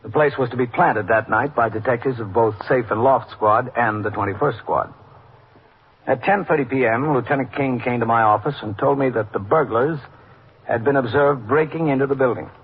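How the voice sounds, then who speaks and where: An actor playing a weary, businesslike police captain in a matter-of-fact, clipped classic radio drama style. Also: male voice, medium pitch, moderate pace, natural voice. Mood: measured narration. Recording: narrow, noisy old radio recording.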